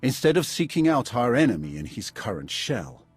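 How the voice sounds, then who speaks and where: A grave old warrior-sage from a sci-fi game, with a husky voice that rises and falls dramatically. He weighs each word wearily and solemnly over background music. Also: mid-range voice, very animated, moderate pace, darkish tone. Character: weary old male Jedi veteran, grave and solemn. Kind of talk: dramatic game cutscene acting.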